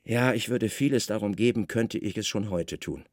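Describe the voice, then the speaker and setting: A man performs a Roman governor in a radio drama, tired of his post and quick to irritation. He commands and questions with brooding authority, his voice creaky and recorded dry. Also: lowish voice, no echo, pitched below the performer's own voice. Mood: weary, irritated. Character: weary, imperious Roman governor, irritable and probing.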